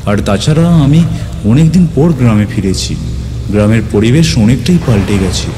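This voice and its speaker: A man narrating a ghost story in the first person, in a dramatic, suspenseful way with deliberate pauses. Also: mid-range voice, lively intonation, noisy background. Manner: suspenseful, theatrical, with deliberate pauses and repeated words. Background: music.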